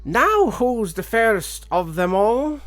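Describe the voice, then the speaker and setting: A man's voice: sits high, sing-song, slow, a bit bright, faint music behind, pitched above the performer's own voice, exaggerated voice. A man voicing a vain, evil storybook queen with a theatrical, demanding manner. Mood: imperious, demanding.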